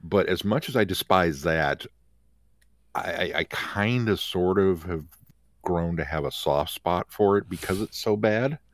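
Deep-voiced man in halting, opinionated chat. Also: no room sound, studio quality. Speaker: podcast co-host. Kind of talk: casual fan discussion.

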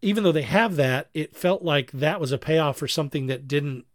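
A man talking casually and critically as a podcast panelist, talkative, with halting, repeated phrases. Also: fairly high pitch, dry recording, studio quality. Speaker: podcast panelist reviewing fan productions.

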